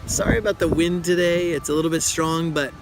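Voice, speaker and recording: A man in his thirties with a scratchy, hoarse voice from being sick, chatting casually as he reviews a yo-yo outdoors in a windy park. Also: on the high side, a little fast, over music. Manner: relaxed, chatty, frequent uh fillers. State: hoarse from illness. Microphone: camera close-up.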